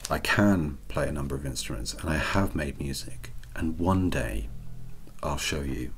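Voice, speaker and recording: A soft-spoken man, reading out questions and answering them in a wry, self-deprecating way. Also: very deep voice, normal speed, slight background noise. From Wales. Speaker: ASMR channel host.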